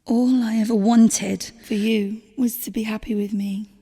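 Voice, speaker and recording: Woman acting high-voiced pleading girlfriend, echoing. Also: fairly even intonation, measured pace, warm tone, husky. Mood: pleading, hurt. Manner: pleading, emotional, defensive. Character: pleading, wounded girlfriend clinging to her relationship. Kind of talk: audio drama dialogue. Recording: long reverberant hall sound.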